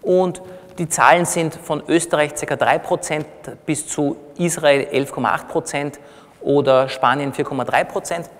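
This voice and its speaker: A man's voice: on the high side, steady tone, normal speed. A middle-aged man with an Austrian accent gives an explanatory, conversational lecture, teaching plainly and checking in with short tag questions. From Austria, born in Iran. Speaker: physician giving a lecture. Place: on stage in a large congress hall. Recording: reverberant large hall.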